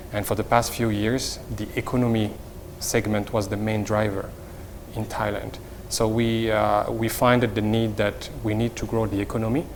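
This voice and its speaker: A middle-aged man with a Moroccan accent explains things in a semi-formal interview as a company executive, haltingly and with repeated words. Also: fairly low pitch. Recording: reverberant hall, faint background noise.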